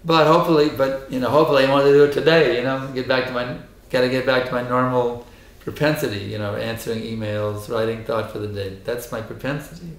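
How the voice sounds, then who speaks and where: A hoarse man speaks as a spiritual teacher in a temple, driving his points home with emphatic repetition. His voice sits in a middle register. The hall around him rings with a roomy echo. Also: medium pitch, steady tone, fast talker, few pauses. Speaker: spiritual teacher giving a lecture. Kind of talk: temple lecture with questions and answers. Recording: echoey hall.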